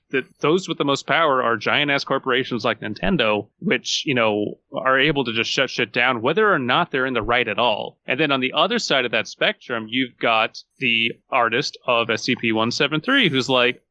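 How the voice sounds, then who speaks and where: Bright-voiced man ranting on a podcast. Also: medium pitch, muffled recording. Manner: opinionated, exasperated, swearing. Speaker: podcast co-host. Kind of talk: casual podcast discussion.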